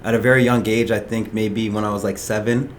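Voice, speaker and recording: Young man, slightly low voice. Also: level tone, brisk, a little hiss or hum. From Ottawa, Canada. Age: 20s. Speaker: podcast guest, soccer player. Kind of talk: casual personal storytelling in an interview.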